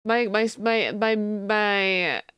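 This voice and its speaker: A woman's voice: mid-range voice, extremely slow, fairly bright tone, clean tone, dry recording, studio quality. A woman streaming live who says she just woke up and has a sleepy voice, chatting casually and thanking her viewers.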